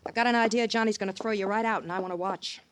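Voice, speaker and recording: Actress as a scornful hard-boiled crime-drama dame. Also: female voice, mid-range voice, fairly even intonation, very fast, noisy background, lightly acted. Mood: mocking. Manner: taunting, sardonic. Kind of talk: 1950s radio crime acting.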